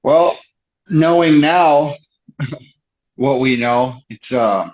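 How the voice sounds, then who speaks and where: Male guest, mid pitch, hesitant and filler-heavy, dull lo-fi recording. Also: darkish tone. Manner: halting, restarts a lot, many "you know" fillers. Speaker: podcast guest. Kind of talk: casual conversation. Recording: dull lo-fi sound.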